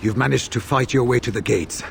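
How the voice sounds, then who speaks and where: An actor as a serious veteran warrior. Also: male voice, medium pitch, brisk, creaky, a little hiss or hum, natural voice. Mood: serious, urgent. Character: calm, serious veteran warrior giving battle strategy. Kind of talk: video game dialogue acting.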